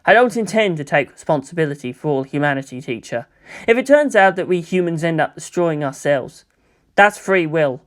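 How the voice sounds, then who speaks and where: A man's voice: a little high, expressive, moderate pace, close to an everyday voice. A man voicing a reluctant, earnest and defiant teenage boy hero in a dramatised fan fiction reading, speaking with intensity. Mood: grim resolve.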